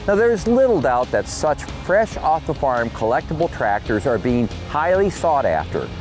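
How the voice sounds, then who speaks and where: A man's voice: mid-range voice, a little mellow. A man hosting a farm show, interviewing a guest and narrating with folksy interjections.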